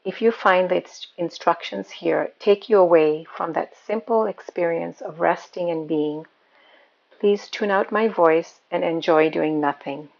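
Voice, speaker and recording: A woman guiding a meditation as a yoga nidra teacher, speaking in a calm, soothing, unhurried manner. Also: lowish voice, vocal fry, muffled recording. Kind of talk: guided meditation.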